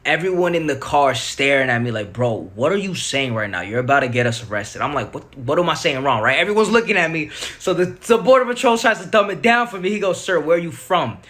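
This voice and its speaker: A man's voice: on the high side, expressive, a little fast, a bit bright. A young man telling a casual, slangy story. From New York City. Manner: laid-back, slangy storytelling.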